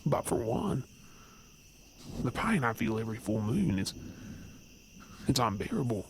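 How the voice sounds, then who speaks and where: A man's voice: mid-range voice, very animated, measured pace, gravelly. A man playing an earnest, brooding werewolf, weary of hiding, in a supernatural ASMR audio drama. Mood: anguished. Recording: faint noise with chirping night insects.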